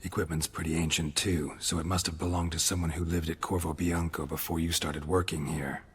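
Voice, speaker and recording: A low-voiced, laconic monster hunter. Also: male voice, flat delivery, fairly quick. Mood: matter-of-fact. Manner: terse, clipped, understated phrasing. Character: laconic, gruff male monster-hunter, practical estate owner. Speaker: voice actor. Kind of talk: video game cutscene acting. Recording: music clearly behind the voice. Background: game music.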